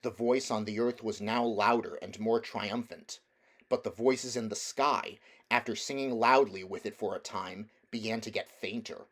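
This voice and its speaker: A man reading a children's novel aloud as a narrator, in a measured, deliberate storytelling manner. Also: on the low side, a bit bright. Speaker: audiobook reader.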